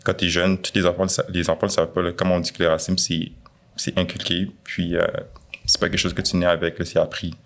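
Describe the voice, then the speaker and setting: A young man with a Quebec French accent, talking casually and reflectively in a conversation, with many pauses and fillers. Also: sits low, flat delivery, fairly bright tone. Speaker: guest.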